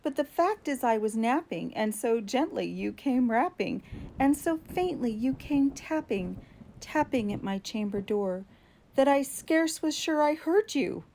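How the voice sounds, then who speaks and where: Lady playing a jumpy haunted scholar in a gothic poem, pitch swoops up, big emphasis. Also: expressive, measured pace, darkish tone, slight background noise, rough recording. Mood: apologetic. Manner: theatrical, emphatic, swooping upward. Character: grieving, haunted, nervous scholar alone at midnight.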